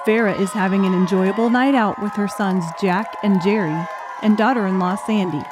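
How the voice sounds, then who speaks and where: A woman's voice: medium pitch, normal speed, crowd noise, noisy background. A woman reading scripted audiobook narration in the present tense, telling a story. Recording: music under the voice. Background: instrumental music.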